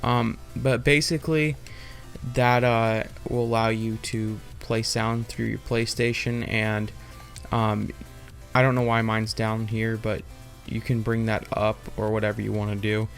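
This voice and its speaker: A man's voice: on the low side, little pitch movement, measured pace, vocal fry, soft background music. A man hosting a casual, unscripted tutorial, explaining in an informal, rambling and hesitant way. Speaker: tutorial host.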